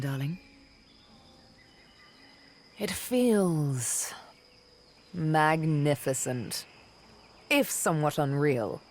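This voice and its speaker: An actress voicing a vengeful, seductive elf assassin in a video game, deliberate and teasing, often murmuring. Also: female voice, low-pitched, darkish tone, slight background noise, exaggerated voice.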